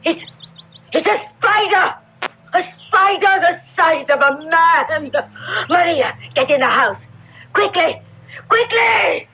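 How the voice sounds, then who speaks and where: A woman acting a frightened, excitable Italian countrywoman in a 1970s radio drama, shrill, exclamatory and melodramatic. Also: takes it slowly, slight background noise, heavily acted. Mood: horrified. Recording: dull vintage radio recording, faint music.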